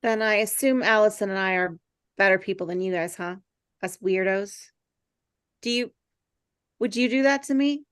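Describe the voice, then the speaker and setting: A woman reading the part of an earnest, insecure misfit teenage boy, halting and stammering, in a script table read. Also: medium pitch, clear, resonant tone, dry recording, studio quality, close to an everyday voice. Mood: hurt, earnest.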